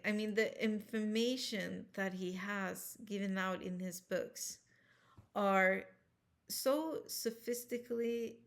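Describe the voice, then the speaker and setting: A woman with a Swedish accent, speaking English as a second language, talking casually and earnestly to camera as a health vlogger, rambling with restarts and self-corrections. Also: mid-range voice. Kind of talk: casual personal talk to camera.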